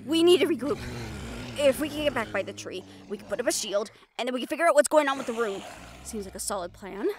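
A woman's voice: on the high side, expressive, fast, a little hiss or hum. A woman voice-acting a tough young fighter in a fantasy action audio roleplay, with dramatic, sweary banter.

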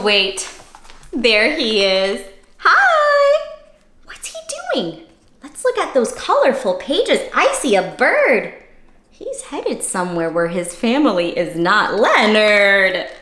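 Very lively, high-pitched young woman reading to children. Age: young adult. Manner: animated, exaggerated child-directed delivery with questions. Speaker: children's read-aloud host. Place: small furnished room indoors. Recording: roomy, echoey home room sound.

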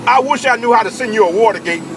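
A man's voice: very high voice, quick pace. A man bantering on CB radio in a teasing, repetitive, whooping manner. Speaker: CB radio operator. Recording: loud engine-like radio noise.

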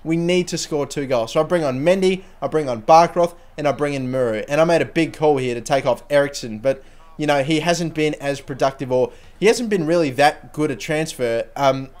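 A young man with a dry, sore throat narrates his football game in loose, rambling commentary. His voice sits a little higher than most men's, and he swears freely when he gets worked up. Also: a little fast. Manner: rambling, excitable at goals, swears casually. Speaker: gaming channel host. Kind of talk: casual gameplay commentary.

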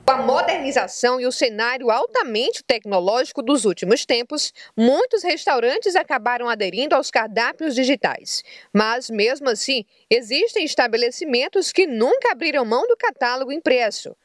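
A young Brazilian woman reporter narrating a news story with clear, measured delivery. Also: high-pitched, animated, no room sound, studio quality. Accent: Brazilian Portuguese.

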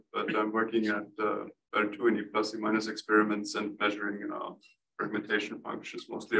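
A man from Austria giving a steady self-introduction on a video call. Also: fairly low pitch, far from the mic, poor audio. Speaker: summer school student.

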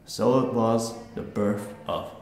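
A man narrates a written short story, reading it aloud. His voice sits on the low side, and the room gives it a clear echo. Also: fairly even intonation, slow, darkish tone. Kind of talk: scripted narration, live reading. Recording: echoey room.

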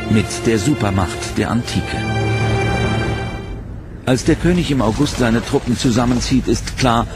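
A man narrating a history documentary from a script, in a measured, dramatic way. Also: mid-range voice, over loud music.

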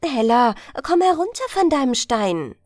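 A woman voices an eager village youngster in a fairy tale. The voice is raised high and lively, calling out insistently. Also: unhurried, no room sound, clean studio sound, faint music behind. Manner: calling out, urging.

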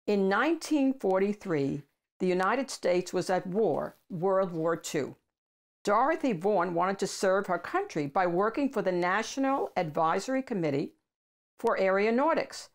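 An older woman with a medium-pitched voice narrates a children's picture book. She phrases things deliberately and leans on key words for emphasis. The delivery is that of a read-aloud host addressing young listeners. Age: older, 60s or above. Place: small room at home with bookshelves.

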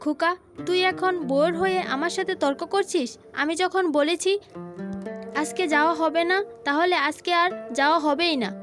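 A woman's voice: extremely high pitch, fairly even intonation, normal speed, fairly bright tone, faint music behind. A woman voicing a stern, bossy older village mother-in-law in a cartoon family drama, with an insistent manner. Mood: stern, indignant. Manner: commanding, insistent.

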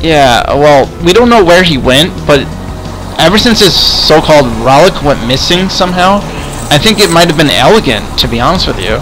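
A man chatting casually in improvised game roleplay, rambling and often repeating words haltingly. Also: a little high, big pitch swings, rough recording. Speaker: host and player in a roleplay game video. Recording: music behind the voice. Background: music.

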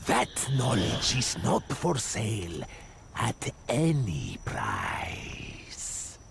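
Slow, gravelly, sinister creature trader. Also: male voice, lowish voice, wildly swooping pitch, a little hiss or hum, full character voice. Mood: sly refusal. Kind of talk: fighting game character dialogue.

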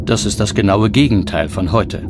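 A man's voice: on the low side, moderate pace, heavy background noise. A man reading scripted documentary narration as the narrator. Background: background music.

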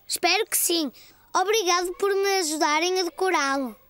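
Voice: very high-pitched, moderate pace, a bit bright, no room sound. An actor voicing an eager, curious little four-year-old boy in a children's cartoon, with a European Portuguese accent. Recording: music behind the voice.

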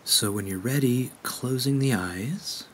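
A man leading a guided meditation in a gentle, unhurried way with measured pauses. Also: mid-range voice, a little hiss or hum.

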